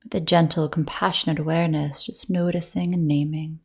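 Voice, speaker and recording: Woman, meditation teacher, low voice, even monotone, soft and breathy, soothing, measured with long pauses. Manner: soothing, gentle, measured delivery with long pauses between phrases. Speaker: yoga nidra teacher. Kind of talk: guided meditation.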